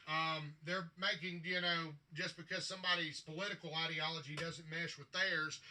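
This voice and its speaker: Bright, forceful man, salty hobby vlogger. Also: fairly high pitch, steady tone, normal speed, a little hiss or hum, poor audio. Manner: blunt, salty, frequent swearing. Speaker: tabletop gaming hobbyist and vlogger. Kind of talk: casual rambling vlog talk to viewers.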